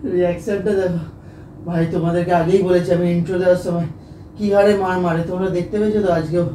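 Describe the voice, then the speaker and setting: Young male voice, rapid, protesting, repetitive, casual, roomy echo, noisy background. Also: high voice, flat delivery, warm tone. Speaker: husband and prankster hosting a family video. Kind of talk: casual argument and prank chat. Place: indoor room at home. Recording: echoey room, clear background noise.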